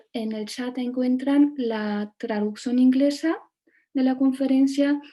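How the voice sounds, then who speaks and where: A woman moderating an online lecture and translating, measured and slightly hesitant. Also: fairly high pitch, warm tone. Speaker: interpreter and moderator.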